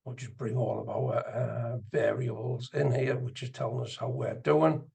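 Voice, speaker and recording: Man, very husky rasp, creaky, casual instructor commentary, muttering at times, screen-recording voice-over. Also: medium pitch, fairly even intonation, moderate pace, dark tone. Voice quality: gravelly, husky. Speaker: trading instructor and video host. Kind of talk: casual live screen-share commentary on chart trading.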